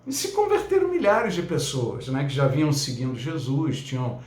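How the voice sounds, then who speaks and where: A man's voice: fairly high pitch, big pitch swings, moderate pace, darkish tone, roomy sound. A man teaching a Bible lesson as a pastor, talking conversationally with frequent fillers and tag questions.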